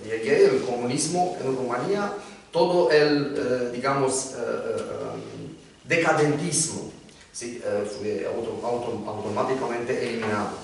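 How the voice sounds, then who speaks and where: Male panelist, slow and hesitant, distant echoey room sound. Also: medium pitch, a little hiss or hum. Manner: halting, many filler hesitations. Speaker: panelist, literary scholar. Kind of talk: round-table talk. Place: lecture room.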